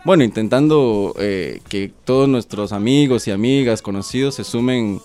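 A man's voice: medium pitch, normal speed, darkish tone, clean tone, soft background music. A man from Costa Rica chatting casually as a radio interview guest, colloquial, modest and a little hesitant. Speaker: interview guest, web series maker.

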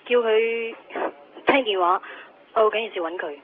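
An actress playing a casual, preoccupied young office assistant in a 1990s radio drama, with a Hong Kong Cantonese accent. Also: female voice, a little high, moderate pace, lightly acted. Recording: muffled radio recording, some noise.

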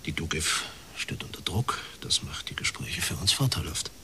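Low-voiced, suave older clergyman. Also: expressive, sharp tone, whispered, heavily acted. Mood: smooth, businesslike. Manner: measured, formal, unctuous delivery. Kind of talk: radio crime drama acting.

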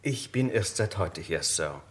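Discreet waiter in a vintage radio play: very low voice, formal, subservient. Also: male voice, lively intonation, unhurried, a bit bright. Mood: deferential. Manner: deferential, formal. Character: subservient, softly discreet male club waiter. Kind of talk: mid-century radio drama acting.

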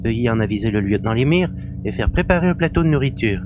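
A man's voice: medium pitch, expressive, quick pace, lightly acted. A man playing a courteous young doctor aboard a spaceship in an audio drama. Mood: courteous, attentive. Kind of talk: French audio drama acting. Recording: dull lo-fi sound with humming noise.

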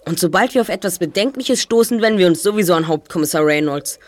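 A woman's voice: lowish voice, animated, fast talker, close to an everyday voice. A woman playing a confident boy detective in a German audio drama, articulate and formal in delivery. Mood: polite. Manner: articulate, formal, self-assured. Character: confident, well-spoken boy detective, leader of a trio.

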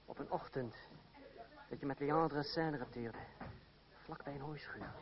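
A man's voice: a little high, moderate pace, lightly acted. A man acting a witty, mocking, gallant rogue in love in an old radio drama, ironic and courtly in his delivery. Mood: ardent, earnest. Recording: dull old radio play, crowd noise behind.

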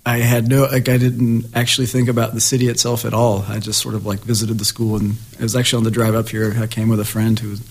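A young man, a musician guest on a radio show in a studio, talking casually and haltingly with repeated words. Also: on the low side, level tone, darkish tone.

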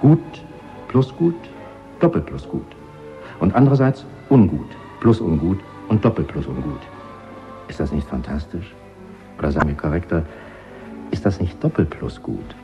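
An actor in a radio play voicing a zealous, pedantic linguist who lectures with emphatic, self-satisfied conviction. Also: male voice, rumbling low, big pitch swings, moderate pace, vocal fry, long pauses, warm tone, exaggerated voice. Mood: smug enthusiasm. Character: zealous, pedantic male linguist, fanatical party believer. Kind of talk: German radio play acting. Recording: dull radio production with music.

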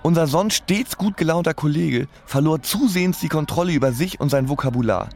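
A man's voice: a little high, a little fast, close to an everyday voice. An actor playing a wry band musician who narrates his shabby past in ornate, mock-literary wording in a radio play. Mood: wry, reflective.

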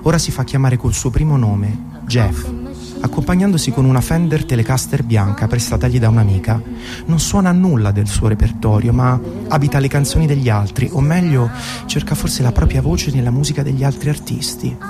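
A man's voice: medium pitch, a little fast. A man reading a scripted narration as a radio presenter, with dramatic, literary storyteller phrasing. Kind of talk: scripted storytelling narration, guided music programme. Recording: narration over prominent background music. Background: music.

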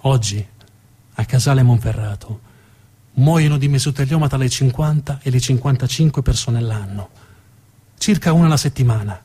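A man giving a scripted dramatic narration as a theatre storyteller, measured and deliberate, with pauses and emphatic repetition. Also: mid-range voice, mellow, breathy edge. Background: plucked guitar music.

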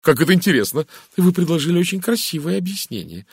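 A man voicing a gushing, excitable, talkative middle-aged lady in an audiobook, with an eager, exclaiming manner. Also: fairly high pitch, fast talker, gravelly, breathy edge, no room sound, clean studio sound, voice pushed up, heavily acted. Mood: gushing, delighted. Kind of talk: audiobook character voice.

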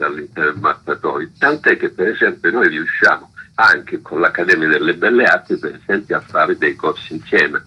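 A man with a deep voice and a very bright, pressing edge, explaining things at length as a radio interview guest. His tone is roughened and husky, and he often tacks a checking tag onto his phrases. The sound is slightly muffled, lo-fi radio audio. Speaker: interview guest, representative of a self-managed arts centre.

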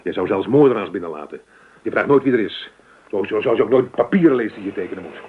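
Jealous husband, wildly swinging pitch. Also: male voice, animated, fast talker, husky. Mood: exasperated. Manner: scolding, stammering when worked up. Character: jealous, stuffy husband, accusing and suspicious. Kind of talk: Dutch radio play acting. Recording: dull, lo-fi radio play recording.

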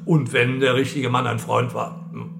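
Radio play: male actor as self-assured ghost of an old-school general, sardonic, gravelly, music underneath. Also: medium pitch, flat delivery, normal speed, close to an everyday voice. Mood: brisk self-justifying. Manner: sardonic, offhand, military clipped asides. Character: dead Weimar-era general, wry, self-assured old-school officer. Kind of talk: German radio play dialogue.

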